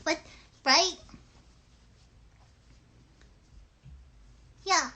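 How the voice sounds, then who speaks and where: A woman's voice: extremely high pitch, slow, bright, forceful tone, heavily acted. A woman voicing a sweet, eager little girl puppet in a live puppet show, with childlike, hesitant, simple phrasing. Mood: earnest.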